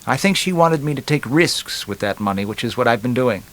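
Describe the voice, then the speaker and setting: A man's voice: medium pitch, expressive, a little fast, fairly bright tone, lightly acted. A man playing a glib, wisecracking young man. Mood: bitter, self-justifying. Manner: chatty, wisecracking, punchy emphasis. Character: glib, joking, resentful young man from Los Angeles.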